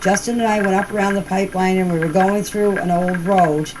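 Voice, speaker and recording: A woman's voice: medium pitch, steady tone, a little fast. A woman giving a casual, rambling personal account in plain, folksy words, recorded as voice messages. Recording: noisy voice-message clips with background ambience.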